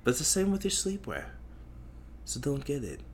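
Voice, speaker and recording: A man's voice: fairly high pitch, huge pitch swings, normal speed. A young man hosting a solo podcast, rambling in a crude, profane and self-mocking way that often loses its thread. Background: faint noise from outside.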